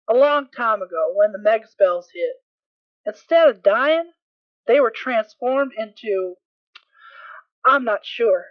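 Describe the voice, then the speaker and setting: A woman's voice: on the high side, no echo. A woman playing a concerned, caring unicorn mare in an amateur audio drama, earnest and hesitant as she explains. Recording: tinny, band-limited dry home recording.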